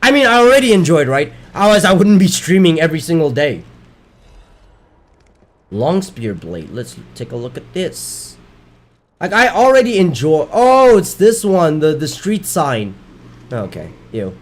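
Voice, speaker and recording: A man's voice: fairly high pitch, sing-song, normal speed. Rambling streamer man, voice worn. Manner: rambling, self-correcting, swears casually. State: losing his voice. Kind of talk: casual chat while gaming.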